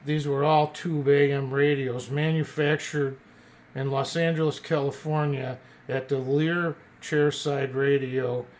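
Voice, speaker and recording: A man casually presenting an old radio as a collector, partly reading from notes in a halting way and stumbling over words. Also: mid-range voice, level tone, a little mellow.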